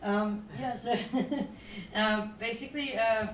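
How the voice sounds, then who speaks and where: A woman's voice: a little high, steady tone, takes it slowly. A woman from Dubai speaking on a conference panel as an events promoter, chatty and loose with many fillers. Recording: echoey room, voice from a distance, faint noise.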